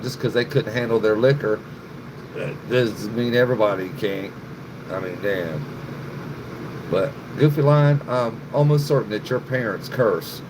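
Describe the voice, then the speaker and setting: A middle-aged man hosting a casual livestream, rambling and cursing freely as he answers viewers in the chat by name. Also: medium pitch. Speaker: livestream host. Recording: noticeable background noise, air conditioning hum.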